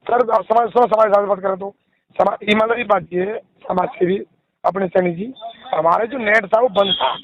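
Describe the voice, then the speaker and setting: Man, high voice, defensive, halting, muffled phone recording. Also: fairly even intonation, no echo. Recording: dull lo-fi phone call recording.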